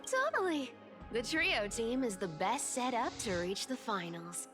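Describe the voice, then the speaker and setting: A woman's voice: fairly high pitch, very animated, measured pace, music in the background. A woman playing a playful, mischievous young trickster demigod in a video game, with a teasing, breezy, theatrical delivery. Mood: breezy, sly.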